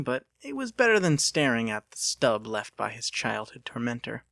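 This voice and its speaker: Animated, creaky-voiced male audiobook narrator. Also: medium pitch, no echo, clean studio sound. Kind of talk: dramatic scripted storytelling.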